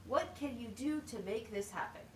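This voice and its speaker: A woman's voice: a little high, lively intonation, moderate pace, lightly acted. A woman voicing an encouraging, methodical teacher in a scripted puppet show, explaining things plainly and asking questions. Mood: patient, explanatory. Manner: instructive, question-led, plain wording. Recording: roomy, distant mic, faint noise.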